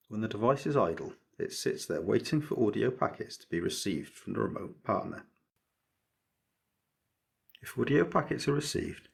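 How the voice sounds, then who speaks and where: A man with a low, creaky voice presents a scripted electronics tutorial. His tone is very husky and breathy-rough rather than smooth. He explains each step methodically, in a measured, explanatory manner. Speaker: maker presenting an electronics project.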